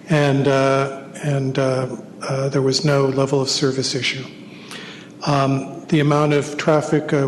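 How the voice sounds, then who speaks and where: A man responding formally and carefully to concerns at a public hearing, with frequent fillers. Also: mid-range voice. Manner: measured, formal, many fillers. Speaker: project representative. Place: city council chamber. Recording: council chamber reverb with faint noise.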